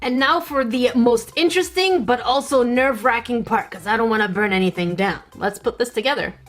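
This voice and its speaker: A woman's voice: a little high, fairly quick, soft background music. Playful woman chatting through a craft test. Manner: playful, chatty, jokey asides. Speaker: craft channel host. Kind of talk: casual craft-kit test vlog. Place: home craft room.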